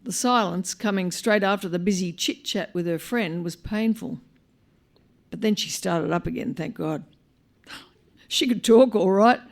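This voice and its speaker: An Australian woman reading a short story aloud live, in an expressive, wry manner with dramatic pauses. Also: medium pitch, vocal fry. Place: live on stage at a small venue.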